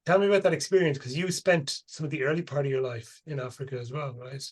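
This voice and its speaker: Husky-voiced male podcast host. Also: medium pitch, fast talker. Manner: relaxed, swears casually, uses colloquial phrasing. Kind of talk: casual interview chat.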